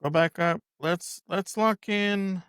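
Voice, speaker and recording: A man's voice: high voice, takes it slowly, a bit bright, clean tone, dry recording, studio quality. A man speaking as a podcast guest in casual sports chat, often repeating himself as he talks.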